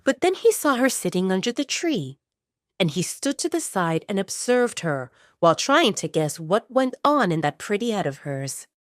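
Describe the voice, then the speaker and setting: A woman's voice: medium pitch, very animated, normal speed, no room sound, studio quality. A woman reading scripted romance fiction as the narrator of an audio drama.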